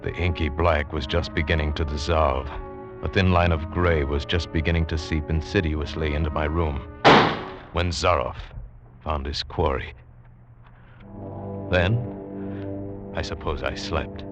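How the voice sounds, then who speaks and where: An actor playing a grim hunted man who tells his own suspense story, tense and deliberate, in 1940s radio style. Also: rumbling low, fairly even intonation, lo-fi sound. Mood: grim, haunted. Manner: tense, deliberate dramatic delivery. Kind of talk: 1940s radio acting, first-person narration. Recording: vintage radio drama with music behind.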